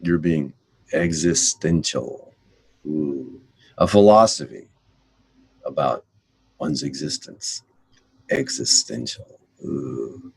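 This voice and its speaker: Man, very low voice, dry close sound, joking, drawn-out storyteller. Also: vocal fry, darkish tone, husky, no echo, low-quality recording. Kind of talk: casual conversation.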